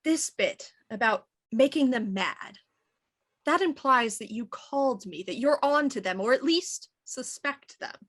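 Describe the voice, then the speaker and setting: An actress playing an actor who voices a stern inspector, with clipped, probing questions. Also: female voice, high-pitched, lively intonation, measured pace, fairly bright tone, full character voice. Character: young woman actor, sharp and sarcastic, voicing a stern inspector. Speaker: actor playing a police inspector in a period radio play. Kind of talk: audio drama acting.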